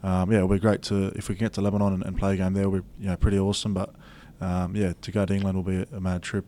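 A young man with an Australian accent giving relaxed, filler-heavy answers as an interview guest. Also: deep voice, very fast, slight background noise. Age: young adult. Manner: laid-back, many fillers and restarts. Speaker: rugby league player, guest.

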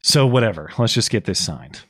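A slightly hoarse man co-hosting a podcast, recapping political news in a casual, explanatory way with plenty of fillers. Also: lowish voice, very animated, fairly quick. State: slightly hoarse, losing his voice. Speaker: podcast co-host.

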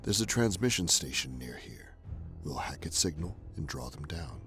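A man performs a ruthless traitor commander with a voice of extreme depth and a gravelly fry. He lays out plans in measured, menacing orders. Soft music plays behind him in this audio drama. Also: very deep voice, lively intonation, exaggerated voice. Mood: grim. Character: ruthless male traitor commander, cold schemer.

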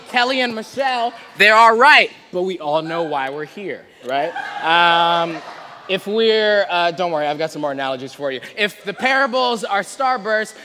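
High-voiced male preacher in a reverberant hall. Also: sharp tone, slight background noise. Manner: conversational, playful, informal. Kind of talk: sermon with casual humour and scripture reading. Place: large reverberant room before a live audience.

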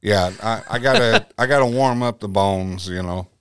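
A man's voice: fairly low pitch, normal speed, clear, resonant tone. A man hosting a casual faith podcast, joking and rambling, correcting himself as he goes. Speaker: podcast host. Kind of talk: casual chat.

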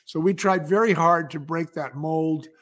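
Higher-voiced American man, emphatic storyteller. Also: unhurried, fairly bright tone. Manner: emphatic, uses rhetorical questions. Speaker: interview guest, company founder. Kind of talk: conversational interview storytelling.

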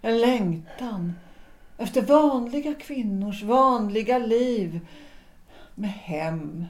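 An actress playing a weary but resolute wife in a period audio drama, speaking in a deliberate, reflective way with measured pauses. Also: female voice, a little high, expressive, natural voice. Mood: wistful. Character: weary, resolute wife and former opera singer, 1900s Stockholm. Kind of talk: period stage-play audio drama acting.

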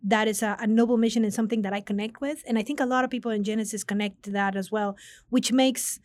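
A woman's voice: fairly high pitch, steady tone, fast talker, darkish tone, no room sound. A middle-aged woman from Mexico, a guest executive talking earnestly in an interview and often restarting her words. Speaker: guest, business consulting executive. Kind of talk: conversational interview.

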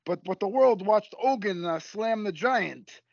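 A man playing an excitable, bumbling Canadian wrestling sidekick in a comedic audio play, stammering and repeating his words. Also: high voice, a little slow, creaky, no room sound, highs cut off, exaggerated voice. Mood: flustered, enthused.

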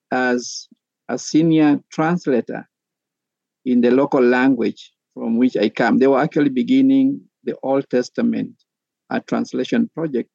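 A middle-aged man from Kenya, a pastor and theology professor, speaking as a podcast guest in a measured, methodical way with frequent pauses. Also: medium pitch, creaky, warm tone, dry recording, muffled recording.

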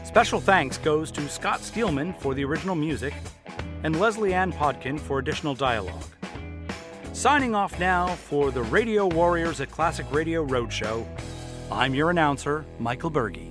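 A man's voice: a little high, animated, normal speed. A man announcing a radio drama in a theatrical, playful manner, reading scripted narration. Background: music.

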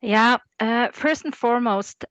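A woman in her forties from Norway with a Norwegian accent, a professor speaking as a podcast guest, careful and measured with frequent fillers. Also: on the high side, a bit bright, no room sound, highs cut off. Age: 40s. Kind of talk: podcast interview.

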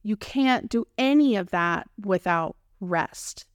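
A woman in her thirties with a Texan accent talks through her podcast in a playful, slangy way, cheering herself on as she goes. Her voice is smooth and resonant and unhurried. The sound is dry, with no room echo. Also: mid-range voice, animated. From Texas, United States. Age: 30s. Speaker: podcast host. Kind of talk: solo podcast monologue.

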